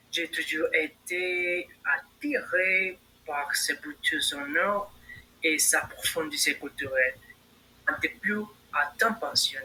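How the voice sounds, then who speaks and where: A man's voice: on the high side, pushed and bright, a little hiss or hum, low-quality recording. A young man, answering as a candidate in a practice admission interview, reciting prepared answers with hesitant fillers. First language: Spanish. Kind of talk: role-played job interview.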